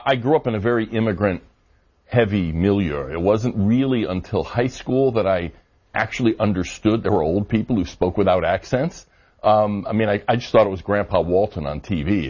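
Low husky man arguing policy. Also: darkish tone. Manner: measured, argumentative, frequent fillers. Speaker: immigration policy guest. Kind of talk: interview answers. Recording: dull lo-fi audio.

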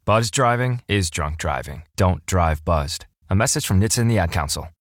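A man announcing a scripted public service ad in a calm, measured way. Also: deep voice, no echo, studio quality. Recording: ad over loud music.

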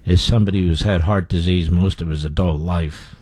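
Blunt low-voiced male radio host. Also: fairly even intonation, fairly quick, dark tone, no room sound. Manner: blunt and scornful, with many fillers. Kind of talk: opinionated radio commentary.

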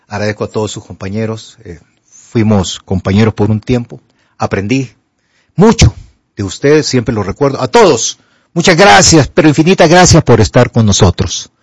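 An older man with a Honduran Spanish accent, a doctor on a radio call-in show who gives health advice in an emphatic, animated way. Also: mid-range voice, moderate pace, slightly rough, dry recording. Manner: animated, emphatic, rises to pleading.

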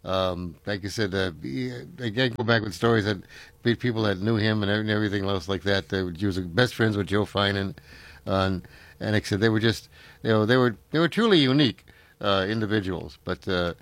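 A man's voice: lowish voice, a little fast, dry recording. An older man, hosting a casual chat and reminiscing in a rambling way, full of fillers and restarts.

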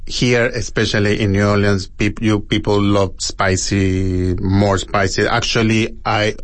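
Man with Chilean accent, halting English. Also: fairly low pitch, level tone, no echo. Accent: Chilean Spanish. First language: Spanish. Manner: halting non-native English with repeated tags. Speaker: empanada shop owner, interview guest. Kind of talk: conversational interview.

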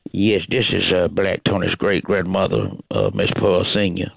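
A man's voice: low voice, flat delivery, normal speed, gravelly, no echo, narrow, tinny audio, heavily acted. A man voicing a cantankerous old great-grandmother on the phone, rambling, repeating herself and cussing. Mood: polite. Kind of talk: prank phone call comedy bit.

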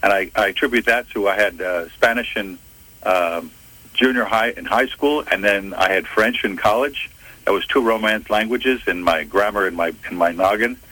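An older man from Philadelphia, a retired FBI agent, answering interview questions in a measured way, often correcting himself. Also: on the low side, pushed and bright, no room sound, rough recording. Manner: measured, self-correcting storyteller. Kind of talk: interview answers, recounting career.

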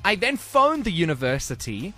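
A man hosting a radio show, chatting casually with a co-host, often laughing and pausing with ums. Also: sits high, big pitch swings, bright, forceful tone, faint music behind.

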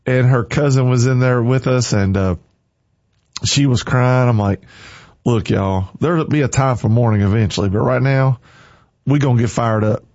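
A man's voice: medium pitch, normal speed, darkish tone, dry recording. Male morning radio host, preachy and fervent, dry close studio sound. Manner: fervent, preachy, repeats short commands. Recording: dry, slightly muffled studio.

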